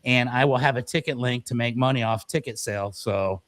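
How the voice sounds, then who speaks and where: A man's voice: medium pitch, brisk, fairly bright tone. An American man co-hosting a podcast in casual, conversational chat. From United States. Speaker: podcast co-host.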